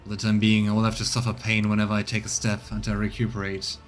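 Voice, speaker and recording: A man voicing a proud, stubborn interrogator in a game, weakened by torture, speaking formally and haltingly in a strained, bitter way. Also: on the low side, completely flat delivery, faint music behind, natural voice. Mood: strained, resolute. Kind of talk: acted reading of game dialogue.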